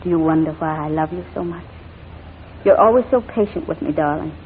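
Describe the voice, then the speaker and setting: A woman playing a gentle, caring wife in 1940s radio drama, speaking earnestly and affectionately. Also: low voice, brisk, natural voice. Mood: tender. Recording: tinny, noisy 1940s radio recording.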